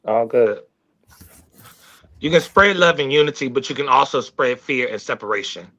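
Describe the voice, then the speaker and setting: Bright, forceful man, halting and reflective. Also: medium pitch, lively intonation, muffled recording. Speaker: talk show team member. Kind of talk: casual live show discussion.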